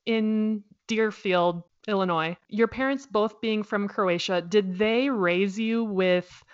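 A woman's voice: mid-range voice, fairly even intonation, slow pace, lo-fi sound. A woman hosting a casual interview, asking friendly, curious questions. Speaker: podcast host.

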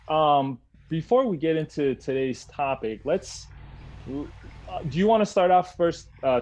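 A man's voice: on the high side, darkish tone. A man hosting his podcast, welcoming a friend in a friendly, easygoing way with lots of hesitations. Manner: friendly, many fillers and restarts. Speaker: podcast host. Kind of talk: casual chat.

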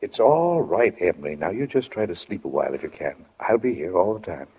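An actor playing an older, gruff but caring small-town family doctor in a 1940s radio play, with a husky voice. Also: male voice, low-pitched, big pitch swings, fairly quick, heavily acted. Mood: soothing, firm. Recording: dull old 1940 radio broadcast.

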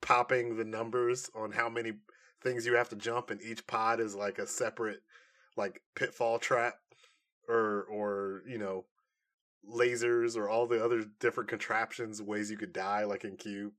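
A man co-hosting a casual pop culture podcast, talking in a jokey, excitable way. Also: on the low side, moderate pace. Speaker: podcast co-host.

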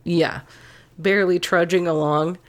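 Low-voiced sardonic woman, podcast co-host. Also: steady tone, measured pace. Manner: laughs often, sardonic. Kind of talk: casual political chat.

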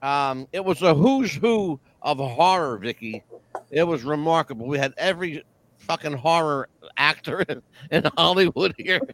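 A man's voice: a little high, lively intonation, unhurried, fairly bright tone, a little hiss or hum. A man in his sixties hosting a casual wrestling chat show, rambling and swearing freely as he talks. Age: 60s. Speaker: podcast host.

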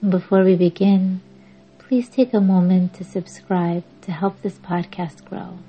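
A woman guiding a yoga nidra meditation in a measured, soothing, instructing way with long pauses. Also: on the low side, fairly even intonation. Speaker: meditation podcast host and guide. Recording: dull lo-fi audio under music. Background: music.

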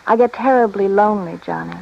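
Coy young femme fatale, old radio. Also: female voice, mid-range voice, gravelly. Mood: coy, lonely. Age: young adult. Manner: coy, halting, melodramatic. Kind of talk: old-time radio drama acting. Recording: dull, slightly noisy old radio recording.

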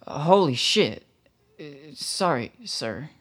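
A man's voice: fairly high pitch, big pitch swings, takes it slowly, fairly bright tone, heavily acted. Man playing an earnest, nervous young Texan. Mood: nervous, deferential. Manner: hesitant, earnest, deferential. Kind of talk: radio drama acting.

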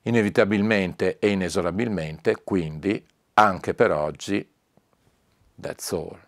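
A man presenting news as a record label owner in an informal promotional video, speaking emphatically and deliberately and repeating key words for weight. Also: deep voice, no echo, clean studio sound.

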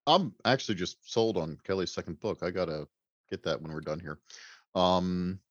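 A man with a low voice talks casually as an author on a panel. He is wordy, articulate and self-deprecating. The recording is dry and a little muffled. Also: normal speed, dry recording.

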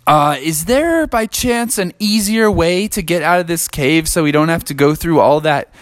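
A man voicing a young male frog hero in a high, squeaky kid voice, polite but whiny and easily embarrassed. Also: lively intonation, normal speed, no room sound, studio quality, lightly acted. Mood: hopeful.

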